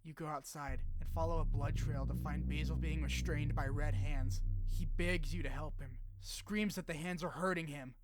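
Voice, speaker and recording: A man's voice: on the high side, steady tone, normal speed, hardly any pauses, background noise. A man narrating a scripted video essay about a game in an informal, sweary, opinionated way. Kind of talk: scripted game retrospective narration with casual asides. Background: background music.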